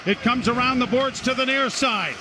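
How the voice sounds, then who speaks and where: High-pitched male hockey play-by-play announcer. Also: normal speed. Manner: excitable, clipped running description. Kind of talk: live radio hockey commentary. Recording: noisy radio broadcast, arena noise.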